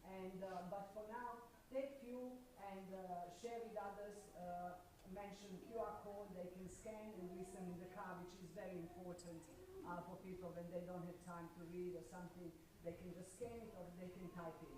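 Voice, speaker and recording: A woman's voice: on the low side, steady tone. Woman, hesitant announcements, very echoey hall, far from the mic. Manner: halting, many fillers, non-native phrasing. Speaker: personal ministries leader. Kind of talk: church announcements. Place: small church hall. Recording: echoey hall, distant mic with background noise.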